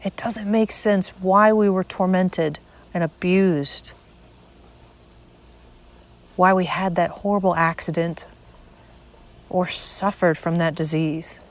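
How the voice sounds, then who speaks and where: A woman narrating a spiritual guided meditation in a measured, deliberate way, pausing between short phrases. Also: fairly low pitch, fairly even intonation.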